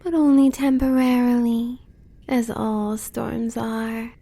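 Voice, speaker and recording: Woman acting a slow, sultry American werewolf huntress. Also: a little high, flat delivery, creaky, warm tone, full character voice. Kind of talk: audio roleplay acting.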